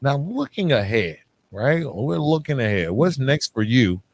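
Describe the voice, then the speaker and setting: A man's voice: mid-range voice, very animated, unhurried, dry recording. A man hosting a podcast interview in a casual, chummy, conversational way. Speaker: podcast host interviewing a guest. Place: living room at home.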